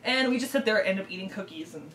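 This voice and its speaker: A woman co-hosting a video channel, telling a playful, improvised story in casual chat with a halting, silly manner. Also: mid-range voice, lively intonation, crowd noise. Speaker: co-host of a YouTube channel. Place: indoors, in a room set up for filming. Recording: roomy, distant mic, faint noise.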